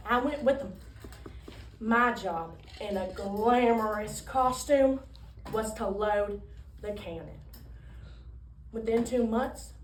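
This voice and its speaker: A woman voicing a cheeky, romantic ninety-two-year-old tap dancer in a comic stage monologue, telling her story with theatrical, drawn-out punchlines. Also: fairly high pitch. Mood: fond, showy. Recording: roomy, distant microphone, faint noise.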